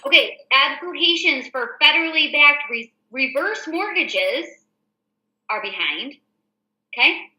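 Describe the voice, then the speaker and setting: A woman's voice: high-pitched, animated, measured pace, sharp tone. An American woman hosting a casual show, reading through news items and commenting in an informal, explanatory way. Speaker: show host and real estate agent. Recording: echoey room.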